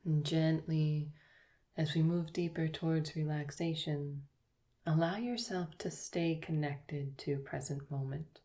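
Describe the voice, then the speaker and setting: A woman yoga teacher guiding a yoga nidra relaxation, speaking slowly and softly with long pauses. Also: low-pitched, steady tone. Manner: slow, soft, soothing guidance with long pauses.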